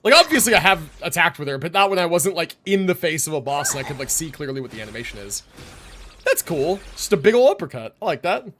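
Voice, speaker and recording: Animated, higher-voiced man streaming games. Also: fast, slight background noise. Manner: slangy, rambling, swears casually. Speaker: livestream host. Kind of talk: casual gaming stream chat. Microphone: streaming microphone.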